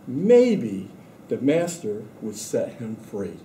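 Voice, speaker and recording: A man on a school staff reading aloud to children in a measured, deliberate way. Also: medium pitch, wildly swooping pitch, warm tone. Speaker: school administrator introducing and reading a story. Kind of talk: scripted read-aloud for children. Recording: roomy echo with faint background noise.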